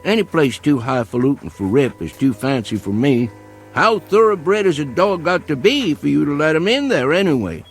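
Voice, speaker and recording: A lively-pitched backwoods coon hunter. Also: male voice, soft background music. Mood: stubborn. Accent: rural Southern American. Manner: homespun, unhurried, plain-spoken. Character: stubborn, plain-spoken backwoods male coon hunter, loyal to dog. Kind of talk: vintage television drama acting.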